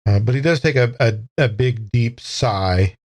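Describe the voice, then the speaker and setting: Male tabletop player with a mid-range voice, casual, halting character narration. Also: mid-range voice. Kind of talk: casual third-person narration of his character.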